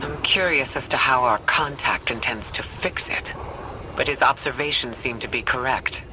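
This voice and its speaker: An actor playing a composed, analytical scholar and explorer in a video game, speaking precisely and matter-of-factly. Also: male voice, mid-range voice, noisy background, close to an everyday voice. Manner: measured, precise, matter-of-fact.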